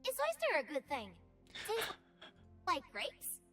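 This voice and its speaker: Video game chameleon girl creature, tiny high voice, swooping melody, playful, curious, simple broken phrasing. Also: wildly swooping pitch, slow pace, bright, forceful tone, soft background music, exaggerated voice. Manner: speaks of herself in third person with broken grammar. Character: playful, childlike female shapeshifting chameleon creature, curious and tender.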